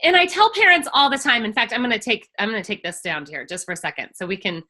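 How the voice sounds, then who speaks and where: A woman's voice: a little high, animated, fast, bright, forceful tone. A middle-aged woman, an education coach, speaking with emphatic passion in a casual conversational workshop. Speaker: IEP coach and workshop host.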